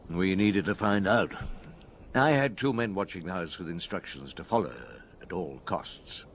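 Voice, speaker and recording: Low-voiced, shrewd police chief inspector. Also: male voice, animated, normal speed, a little hiss or hum. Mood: grave, reflective. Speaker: lead actor and narrator. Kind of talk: detective audio drama, narration and dialogue.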